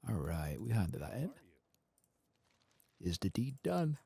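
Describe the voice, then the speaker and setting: Husky, low-voiced man speaking softly. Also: big pitch swings, no room sound. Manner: quiet, murmured, unhurried. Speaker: video game streamer. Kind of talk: soft-spoken ASMR gameplay commentary.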